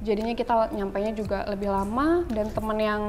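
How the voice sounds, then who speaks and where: A woman's voice: mid-range voice, fairly even intonation, fairly quick, in a large hall. A young woman, a pageant contestant, answering interview questions cheerfully and mixing in English words. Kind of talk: casual interview.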